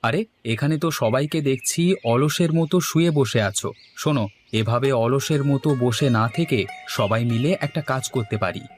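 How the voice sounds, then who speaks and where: A man voices an eager village organiser in a cartoon folk tale. He speaks in a persuasive, rallying way at a medium male pitch, with faint music behind him. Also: moderate pace, natural voice. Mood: cheerful, coaxing. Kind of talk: animated cartoon dialogue in Bengali.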